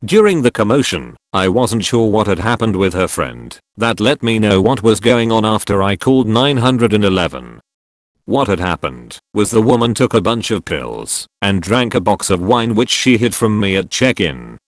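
A man narrating posts in an even, steady storytelling manner. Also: fairly low pitch, moderate pace, dry recording, studio quality. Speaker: narrator reading online posts. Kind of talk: scripted narration.

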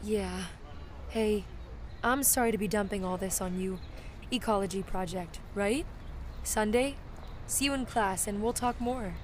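A woman playing an anxious, self-doubting high school girl in an audio drama, hesitant and insecure. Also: a little slow, pauses often, a little hiss or hum, close to an everyday voice. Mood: awkward. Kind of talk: naturalistic audio drama acting.

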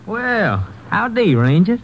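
An actor playing a folksy, stammering cowhand with an American Western drawl in an old-time radio drama. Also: male voice, high voice, very animated, slow, gravelly, fairly bright tone, slight background noise, full character voice. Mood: amused, folksy. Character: jittery folksy cowhand protesting his innocence. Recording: dull vintage radio recording.

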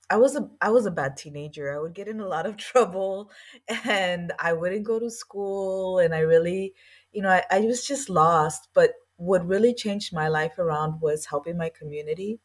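Low-voiced woman telling her story. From East Los Angeles, USA. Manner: earnest, reflective, loose and chatty phrasing. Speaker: guest, language school founder. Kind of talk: casual interview storytelling.

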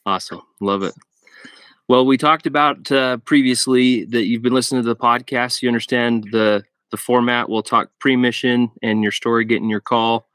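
A man's voice: mid-range voice, steady tone, normal speed, a bit bright, clean tone, no echo. A man hosting a podcast in a casual way, with upbeat short interjections. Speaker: podcast host.

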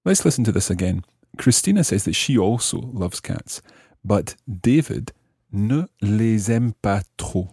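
Soft-toned, low-voiced male language teacher. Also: lively intonation, a little slow, breathy edge, no room sound, studio quality. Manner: didactic, carefully enunciates and translates. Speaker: language teacher, podcast host. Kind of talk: explanatory French grammar lesson, phrase by phrase.

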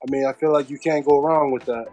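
A man's voice: medium pitch, fairly even intonation, quick pace, mellow, highs cut off, faint music behind. A man co-hosting a casual interview, talking in an encouraging, affirming way. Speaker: podcast co-host, interviewer.

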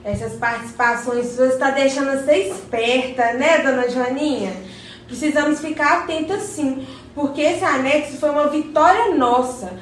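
Radio drama role, for a woman: sincere young neighbour, high-pitched, very echoey recording. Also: normal speed, lightly acted. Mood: earnest. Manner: earnest, inquiring, respectful. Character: Margarida, earnest pregnant younger neighbour woman. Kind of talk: scripted community radio drama. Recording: very echoey, distant mic, faint noise.

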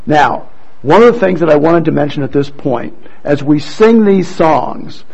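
A man leading a church service, explaining things deliberately to a congregation over a microphone. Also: a little high, expressive, vocal fry, darkish tone, husky, rough recording. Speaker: church service leader introducing readers. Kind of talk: addressing a congregation, explanatory announcements.

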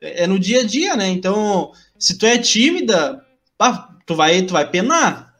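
A young man hosting an interview in an easygoing, casual way, often tagging his sentences with a quick question. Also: high-pitched, sing-song, fairly bright tone. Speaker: host, interviewer.

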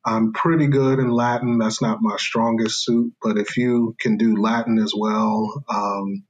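A man's voice: on the low side, flat delivery, unhurried, slightly rough, dull audio. A man speaking as a scholar guest in an interview, explaining carefully in a lecturing way with fillers and restarts.

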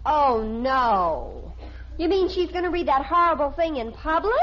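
An actress playing an eager, dramatic American teenage daughter in an old-time radio sitcom. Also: female voice, high-pitched, a little slow. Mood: indignant. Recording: muffled, faintly noisy old radio recording.

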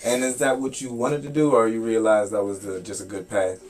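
A man's voice: fairly low pitch, little pitch movement, brisk, recorded from a distance. A young man hosting a podcast and chatting casually with his father. He talks loosely, with lots of fillers and restarts. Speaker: podcast host. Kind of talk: casual interview chat.

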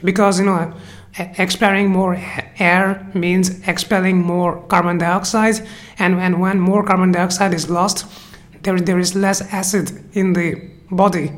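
A teacher with a cold gives an unscripted explanatory lecture, halting often, restarting phrases and leaning on "you know" fillers. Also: mid-range voice. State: has a cold.

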